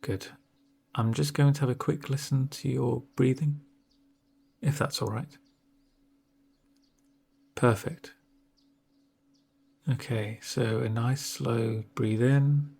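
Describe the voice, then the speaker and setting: A man speaking softly in an ASMR roleplay as a doctor, with a gentle, reassuring, unhurried manner and a breathy voice. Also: medium pitch, fairly even intonation. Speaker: ASMR performer playing a doctor. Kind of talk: soft-spoken ASMR roleplay.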